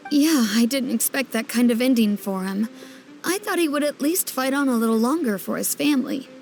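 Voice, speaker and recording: An eloquent, high-voiced townswoman. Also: lively intonation, brisk, darkish tone. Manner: eloquent, theatrical phrasing. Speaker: local townsperson. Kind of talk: video game voice acting. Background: game score music.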